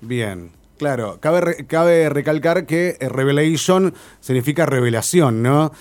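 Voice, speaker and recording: A man's voice: on the high side, unhurried. Male radio host. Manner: relaxed, filler-heavy questioning with many "eh" and "ujú". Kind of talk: radio interview.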